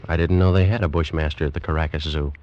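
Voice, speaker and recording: An actor playing a determined male animal collector who gives brisk, dramatic first-person narration in a 1940s radio drama. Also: extremely low pitch, steady tone, darkish tone. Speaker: narrator and lead. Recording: dull old radio recording with music.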